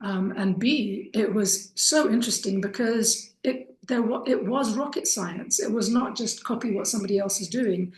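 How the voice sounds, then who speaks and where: Middle aged lady from kenya teaching a course, earnest chatty talk w pauses, mid voice, pretty echoey room. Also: mid-range voice, a little mellow. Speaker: course instructor. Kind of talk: informal introductory talk to students.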